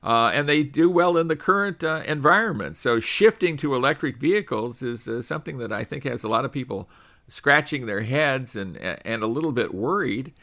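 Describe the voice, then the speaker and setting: Male radio host, middle-aged, bright forceful tone, conversational with uh fillers, studio mic. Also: medium pitch, animated, moderate pace. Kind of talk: radio talk show hosting.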